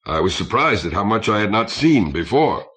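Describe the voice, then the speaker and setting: A man's voice: on the low side, big pitch swings, moderate pace, a bit bright. A man voicing a reserved starship captain in his fifties for an audiobook, speaking formally and courteously.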